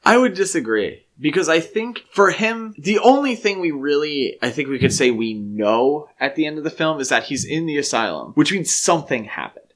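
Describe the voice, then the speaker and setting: A man's voice: on the high side, sing-song, moderate pace, few pauses. A man co-hosting a casual film discussion podcast, arguing his opinions at length. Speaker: podcast co-host.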